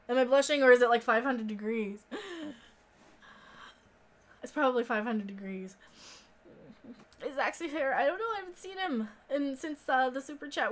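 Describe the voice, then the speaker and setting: An American woman in her thirties hosting a live stream, talking in a rambling, informal, sweary way as she answers viewer comments. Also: high-pitched. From central United States. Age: 30s.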